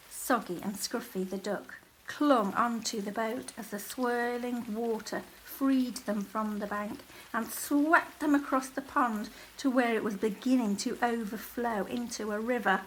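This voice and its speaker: A woman reading a children's picture book aloud as a teacher, in a measured, expressive storytelling manner. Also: fairly high pitch, a little hiss or hum, rough recording. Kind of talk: storybook read-aloud, scripted narration.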